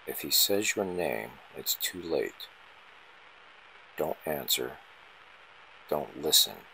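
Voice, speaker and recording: A man reading a scripted first-person horror story in short, ominous, dramatic phrases, with a gravelly, husky voice. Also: extremely low pitch, expressive, slow, pushed and bright, a little hiss or hum, rough recording.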